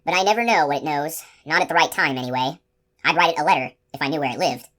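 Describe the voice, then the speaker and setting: A woman's voice: sits low, fast talker, a bit bright. A woman voicing a fumbling young male magician who muses wryly to himself, for an audiobook.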